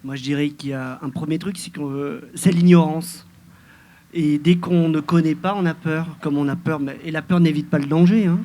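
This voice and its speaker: A man's voice: on the high side, mellow. A man speaking on a debate panel in a conversational way, using plain everyday words.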